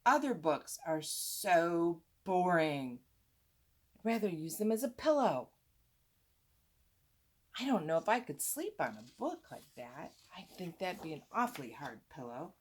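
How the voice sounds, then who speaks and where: A woman's voice: fairly low pitch, sing-song, unhurried, breathy edge. A woman reading a children's book aloud expressively, drawing out words for emphasis and adding asides for young listeners. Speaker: storytime reader, teacher-like host.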